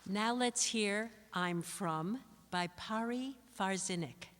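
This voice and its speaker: A woman's voice: medium pitch, takes it slowly, fairly bright tone, some chatter behind. A woman hosting a live event, making announcements to introduce readers. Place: large indoor hall at a live event. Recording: echoey hall with long reverb.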